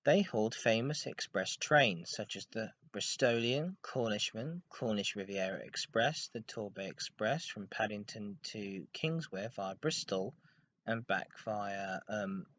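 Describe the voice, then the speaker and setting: A man's voice: on the low side, expressive, unhurried, fairly bright tone, slight background noise. A man hosting a hobby podcast, narrating history in an informal, scripted way. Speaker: podcast host. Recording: dull lo-fi home recording.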